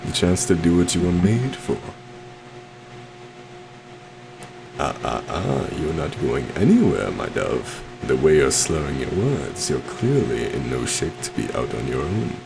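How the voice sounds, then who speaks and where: A man's voice: extremely low pitch, big pitch swings, moderate pace, vocal fry, few pauses, background noise, exaggerated voice. A man playing a suave, possessive stage magician in an ASMR roleplay, theatrical and courtly, coaxing sweetly with a menacing undertone. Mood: possessive concern. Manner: theatrical, courtly, soft coaxing with terms of endearment.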